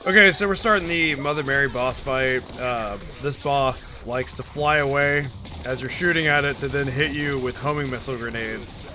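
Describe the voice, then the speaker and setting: A man's voice: mid-range voice, normal speed, sharp tone, a little hiss or hum. A young man giving casual, sarcastic gameplay commentary as a voice-over, swearing often and sounding fed up. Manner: profane, sarcastic and exasperated. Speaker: gaming video host.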